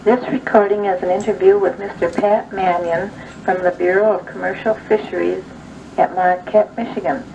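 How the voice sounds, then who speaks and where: Female announcer, formal scripted read, gravelly creak, heard distantly through old tape playback. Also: medium pitch, steady tone, normal speed, warm tone, dull audio, slight background noise. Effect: played from a reel-to-reel tape machine. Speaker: announcer introducing a recorded interview.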